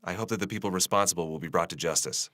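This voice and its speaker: A man's voice: deep voice, racing, fairly bright tone, no echo, studio quality. A man playing a tired, earnest radio journalist talking conversationally as an interviewee in a drama. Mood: earnest, indignant.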